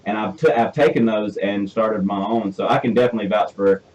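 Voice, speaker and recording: A man in his twenties with a Southern US accent, hosting a casual interview and talking in a chatty, animated way with frequent restarts. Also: lowish voice, fairly quick, lo-fi sound. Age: 20s. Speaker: host.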